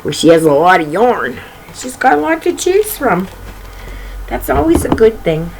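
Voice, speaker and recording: A woman reads a picture book aloud for young children in a very animated, swooping voice at a middle pitch. She talks straight to her listeners, drifting into chatty asides and repeating little phrases as she goes. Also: normal speed, creaky, noisy background. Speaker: children's story reader and host.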